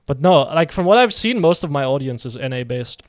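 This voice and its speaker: A man's voice: fairly high pitch, lively intonation, fairly quick, dry recording, studio quality. A man from Denmark chatting casually while streaming a game, rambling and answering his viewers' messages. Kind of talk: casual live game commentary.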